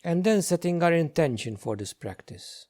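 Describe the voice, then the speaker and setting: A man leading a guided meditation as a teacher, speaking gently in short, unhurried phrases with many pauses. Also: on the high side, lively intonation.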